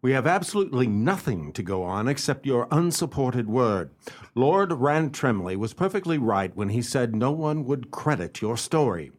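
A man playing a shrewd, polite, skeptical gentleman detective in a radio play, speaking formally and with measured courtesy. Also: mid-range voice, very animated. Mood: firm, regretful. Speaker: detective and narrator.

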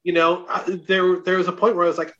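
Higher-pitched man, dull lo-fi audio. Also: flat delivery, fast, bright, forceful tone. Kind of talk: casual TV episode review.

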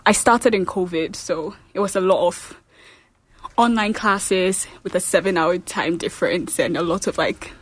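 A woman's voice: lowish voice, moderate pace, slightly rough, dry recording. A young woman from Ghana, a student union staffer, chatting upbeat and casually on a campus radio show. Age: young adult.

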